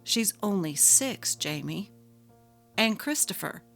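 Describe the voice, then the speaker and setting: A woman's voice: medium pitch, lively intonation, takes it slowly, vocal fry, exaggerated voice. A woman voicing a patient, neglected husband who pleads earnestly in a dramatic story reading. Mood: hurt, reproachful. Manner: earnest, gently reproachful. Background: faint music.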